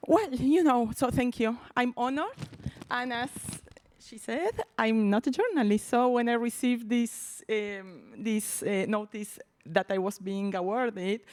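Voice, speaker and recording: A woman with an Argentine Spanish accent speaks into a handheld mic at a banquet, chatty and a little halting. She keeps reaching for words with ums and restarts, and her voice sits dry and close. Her pitch sits in a middle range. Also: no echo. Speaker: award honoree, media executive. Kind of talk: off-the-cuff acceptance speech. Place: event hall at a breakfast banquet. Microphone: handheld microphone.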